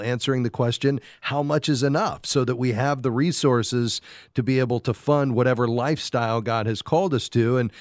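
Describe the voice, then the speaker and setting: A man's voice: medium pitch, a little mellow, dry recording, clean studio sound. A man hosting a call-in advice show in a conversational style. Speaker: radio show host.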